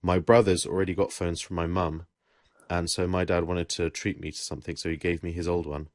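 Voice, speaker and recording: A man's voice: low voice, brisk, no room sound, studio quality. A young man from England chatting casually, rambling and correcting himself as he tells stories. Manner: relaxed, rambling, with self-corrections. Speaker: podcast host. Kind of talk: casual chat.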